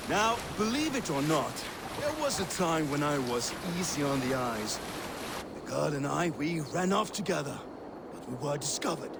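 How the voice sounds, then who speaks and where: A man playing a rueful, weathered older Viking warrior telling a tale dramatically in a video game, with a gravelly voice. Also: on the high side, sing-song, normal speed. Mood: wistful. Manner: wistful, dramatic storytelling. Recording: loud water and boat noise.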